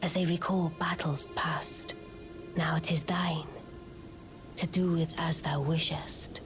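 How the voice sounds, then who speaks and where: A mysterious, aloof young witch from a fantasy game. The voice is low, soft and breathy. Lines come slowly in cool, courtly, archaic phrasing, with music clearly behind. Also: fairly even intonation. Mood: cool, courteous. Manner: measured, archaic courtly speech. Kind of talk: dramatic fantasy game acting.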